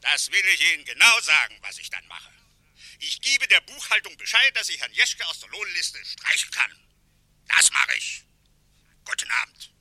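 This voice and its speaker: Sharp, pompous company director. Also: male voice, fairly high pitch, big pitch swings, moderate pace, dry recording, exaggerated voice. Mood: indignant, dismissive. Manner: pompous, emphatic, officious, dismissive. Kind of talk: German radio drama acting.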